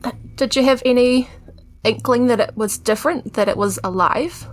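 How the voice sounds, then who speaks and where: A woman's voice: on the high side, normal speed. A woman, chatting casually as a player in a tabletop game.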